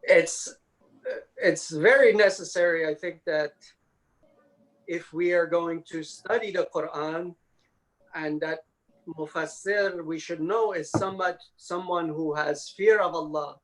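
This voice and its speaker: A man with a slightly high-pitched voice lectures on religion in a scholarly style. He explains in measured, halting phrases, building each point piece by piece. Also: rough recording.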